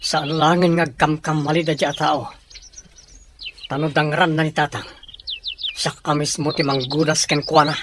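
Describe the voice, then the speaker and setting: A man's voice: on the high side, little pitch movement, measured pace, pauses often, natural voice. A man playing a determined, loyal young son in a drama, earnest and reassuring. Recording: clear noise with birdsong behind.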